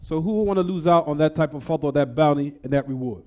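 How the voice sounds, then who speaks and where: A man teaching a hadith class. He keeps asking the room questions and answering them himself, and he recites Arabic quickly between plain explanations. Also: fairly high pitch, mellow, highs cut off. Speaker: Islamic teacher.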